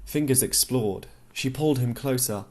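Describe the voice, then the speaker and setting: A man with a medium-pitched voice narrates literary fiction for an audiobook. His reading is expressive, and he shifts his voice to act out lines of dialogue. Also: moderate pace.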